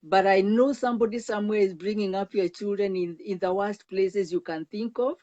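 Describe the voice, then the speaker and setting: An older Kenyan woman speaks as a guest counselor, earnest and emphatic, stumbling and repeating words as she presses her point. Her voice sits at a middle pitch. The sound is dull and lo-fi. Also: fairly even intonation, fairly quick, dark tone. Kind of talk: earnest personal testimony.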